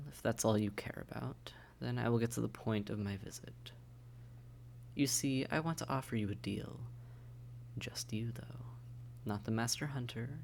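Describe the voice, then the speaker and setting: A man acts out a suave male vampire with a lowish voice that often drops into creaky fry. He is condescending yet courteous, wryly teasing the listener in an intimate audio roleplay. A faint noise sits behind the voice. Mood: earnest persuasion. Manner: polite, wry, articulate, teasing, with sighs and hushed asides.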